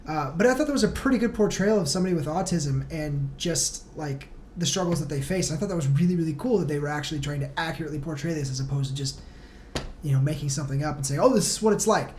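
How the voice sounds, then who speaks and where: A man's voice: a little high, fast talker, a little mellow. A man chatting casually and earnestly as a podcast guest, speaking emphatically and informally. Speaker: band singer, podcast guest. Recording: faint background noise.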